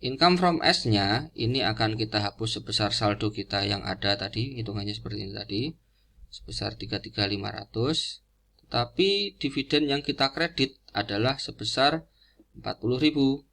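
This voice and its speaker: A man giving an informal explanatory lecture in a didactic, halting way, repeating key phrases as he goes. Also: lowish voice, gravelly, a bit bright. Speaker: accounting lecturer.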